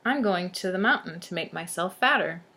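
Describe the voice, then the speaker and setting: A woman's voice: fairly low pitch, lively intonation, moderate pace, pushed and bright. A woman voicing a meek middle-sized male billy goat in a children's storybook, pleading and reasoning. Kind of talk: children's storybook read aloud.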